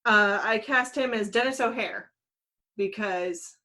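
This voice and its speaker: A woman's voice: medium pitch, a little slow, pushed and bright. A woman co-hosting a podcast, talking casually as she presents her picks. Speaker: podcast host.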